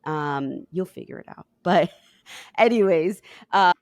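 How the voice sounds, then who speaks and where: A woman's voice: on the low side, lively intonation, slow pace, no echo, studio quality. A woman from Peru, a relationship coach, telling her story in a casual podcast chat and laughing often.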